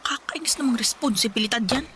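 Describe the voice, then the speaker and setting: A woman's voice: fairly high pitch, fast, pushed and bright, slight background noise. A woman playing a sullen, resentful young mother in a Filipino radio drama, whining and talking back defiantly. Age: young adult. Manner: whiny, complaining, talking back.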